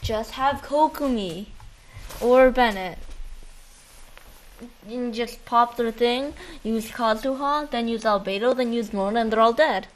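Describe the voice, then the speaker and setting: Female co-host for gaming chat. Higher-pitched, slangy, informal, bantering delivery. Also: a little slow. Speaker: podcast co-host. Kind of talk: casual chat about a video game.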